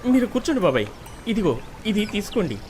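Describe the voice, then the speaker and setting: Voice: medium pitch, sing-song, fast talker, darkish tone, a little hiss or hum, close to an everyday voice. A narrator voicing a kind but firm husband who runs a food stall in a cartoon story, speaking earnestly. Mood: kindly. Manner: earnest, helpful, scolding his wife.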